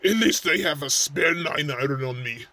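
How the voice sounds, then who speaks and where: A man voicing a battered, swearing cartoon wrestler with a gravelly voice. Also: on the high side, lively intonation, unhurried, bright, forceful tone, no room sound. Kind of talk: cartoon voice acting.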